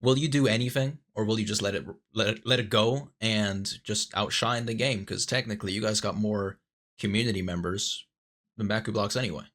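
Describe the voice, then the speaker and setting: A man's voice: lowish voice, fairly even intonation, fairly quick, a bit bright. A man hosting a casual online interview over a voice call, asking loose probing questions with plenty of filler words. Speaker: interviewer and host.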